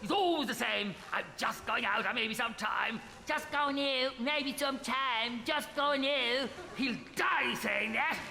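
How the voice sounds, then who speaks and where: An actor playing a pompous, expedition captain in a live radio comedy. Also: male voice, very high voice, a little slow, gravelly, few pauses, sharp tone, soft background music, heavily acted. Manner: pompous, clipped, posh. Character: pompous upper-class male expedition captain. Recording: echoey live hall broadcast.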